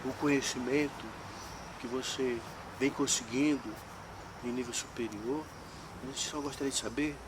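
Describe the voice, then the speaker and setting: A man's voice: mid-range voice, fairly even intonation, normal speed. Formal Brazilian man, noisy outdoors. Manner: formal, long-winded, legalistic phrasing. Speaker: interviewer. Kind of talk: interview questions.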